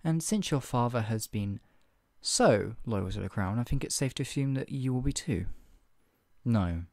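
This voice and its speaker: A man's voice: medium pitch, animated, moderate pace, a little mellow, no room sound, studio quality, lightly acted. A man playing a stern, menacing redcoat soldier in a scripted roleplay interrogation, with a clipped, authoritative, condescendingly polite manner. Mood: measured, persuasive. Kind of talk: ASMR roleplay, one-sided scripted dialogue.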